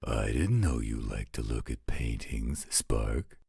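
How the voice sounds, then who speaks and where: A gruff, overprotective werewolf guardian in an audio roleplay. His voice is extremely deep and heavy with gravel. He grumbles along slowly, close and dry. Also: lively intonation, warm tone, dry recording, exaggerated voice. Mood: grumbling. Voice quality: gravelly, husky. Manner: slow, grumbling, protective. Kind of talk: roleplay audio drama acting.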